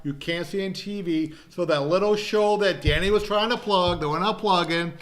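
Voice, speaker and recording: Man, podcast host, high voice, sharp bright tone, salesy teasing banter. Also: fairly quick. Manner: salesy, teasing, pushes live shows over television. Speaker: wrestling podcast host and promoter. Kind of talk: casual promotional chat.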